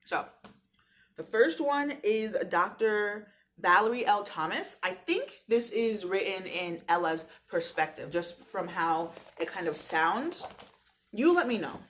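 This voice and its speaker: A woman's voice: medium pitch, very animated, measured pace, a bit bright. American woman reading aloud, chatty teacher. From New York state, United States. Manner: conversational, chatty, addresses listeners directly. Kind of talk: read-aloud with casual explanatory asides.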